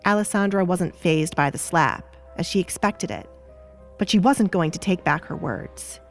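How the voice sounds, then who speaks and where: A woman's voice: fairly low pitch, moderate pace. A woman reading scripted, dramatic story narration. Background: music.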